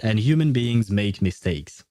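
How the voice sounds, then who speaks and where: A man's voice: on the low side, expressive, measured pace, dark tone, dry recording, clean studio sound. A man streamer chatting casually with viewers in a rambling, hesitant way. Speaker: livestreamer. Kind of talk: casual livestream chat, replying to viewers.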